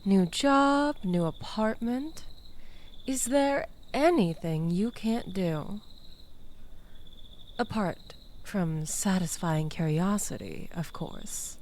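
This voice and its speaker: Woman acting a very slow-talking, teasing manipulative ex-girlfriend. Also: mid-range voice, animated, a little mellow, slight background noise. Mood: coy teasing. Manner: slow, coy, teasing, with sighs and pauses. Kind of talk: intimate audio roleplay addressed to listener.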